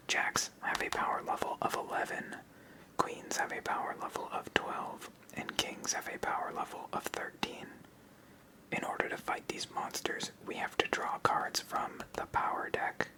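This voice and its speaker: A person of unclear sex giving a whispered ASMR tutorial as host, explaining step by step in a soft, unhurried manner. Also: poor audio. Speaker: host of a card game channel.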